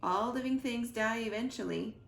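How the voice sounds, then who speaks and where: A woman voicing a gentle, motherly female robot with measured, formal phrasing in a storybook reading. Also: a little high, creaky, reverberant. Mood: gentle, reassuring.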